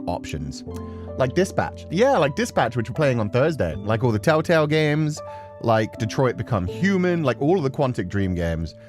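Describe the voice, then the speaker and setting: Guy streamer rambling away, lowish voice that swings all over, music playing behind him. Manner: chatty, giggly, expressive. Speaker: livestream host, gaming streamer. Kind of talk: casual rambling stream chat before a game.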